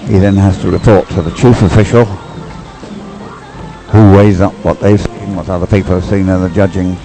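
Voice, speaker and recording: A man's voice: low voice, steady tone, normal speed, mellow, faint music behind, poor audio. An older man giving conversational live race commentary, drifting into rambling explanatory asides. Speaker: sports commentator and race umpire.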